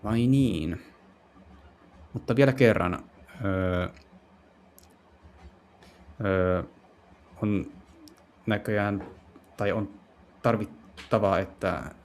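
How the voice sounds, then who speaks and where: A man doing a casual let's play, translating as he goes and haltingly searching for words. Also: fairly low pitch, darkish tone. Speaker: streamer playing and translating visual novels.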